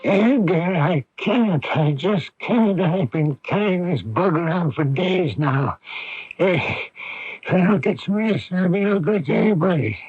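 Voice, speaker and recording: An actor playing a weary, kindly older family doctor who grumbles in an avuncular way, in a radio drama. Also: male voice, sits high, lively intonation, a little slow, slightly rough, no echo, faint music behind, full character voice. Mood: weary, grumbling. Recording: dull lo-fi vintage radio broadcast.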